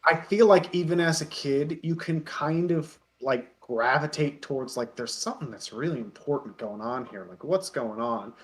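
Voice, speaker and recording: A man talking casually as an interview guest, telling anecdotes in an animated way and acting out remarks. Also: fairly high pitch, moderate pace, few pauses.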